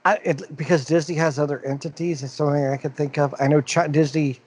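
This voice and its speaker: A man's voice: a little high, steady tone, fast talker, darkish tone. A man co-hosting a podcast, talking in a loose, rambling way. He reads the news out and circles back through uh's and restarts. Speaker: podcast co-host. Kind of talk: casual podcast chat.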